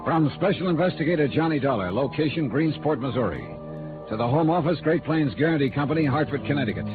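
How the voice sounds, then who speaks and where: Tinny old-radio hard-boiled American detective played by an actor. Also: male voice, fairly high pitch, expressive, fast talker, close to an everyday voice. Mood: wry, matter-of-fact. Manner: wry, clipped, hard-boiled. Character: tough, skeptical male freelance insurance investigator. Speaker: radio drama lead and narrator. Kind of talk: 1950s radio noir acting. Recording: tinny vintage radio recording with music.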